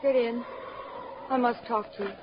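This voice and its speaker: A woman's voice: on the high side, fairly even intonation, moderate pace, noisy background, close to an everyday voice. An actress playing a secretive, wistful married woman in 1940s radio drama, earnest and melodramatic. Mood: guarded, urgent. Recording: dull vintage radio recording.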